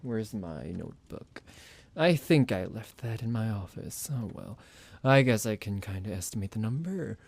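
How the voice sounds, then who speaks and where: A man's voice: fairly low pitch, animated, normal speed, vocal fry, darkish tone, no room sound. A man playing a psychotic vampire doctor in an ASMR roleplay, condescending and playful toward a captive, with mock-polite, hesitant, musing delivery. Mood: casual, sinister.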